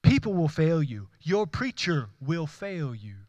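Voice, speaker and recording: A man's voice: on the high side, sing-song, measured pace, darkish tone, dry recording. A man from Houston preaching a sermon as pastor, building emphatic repeated phrases with rising intensity. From Houston, Texas. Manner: impassioned, repetitive preaching with rising emphatic runs. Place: church.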